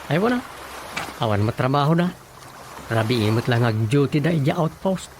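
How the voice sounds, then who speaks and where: A man's voice: mid-range voice, expressive, moderate pace, a little mellow, a little hiss or hum, close to an everyday voice. An actor playing a practical, inquisitive village councilman in a conversational comedic radio drama, with an Ilocano accent and music behind him. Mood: matter-of-fact.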